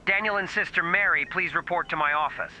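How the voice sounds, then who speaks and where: A man's voice: fairly high pitch, brisk, bright, forceful tone, a little hiss or hum, rough recording. A man playing a methodical, authoritative hospital doctor who gives clipped instructions in a horror audio drama. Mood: grave.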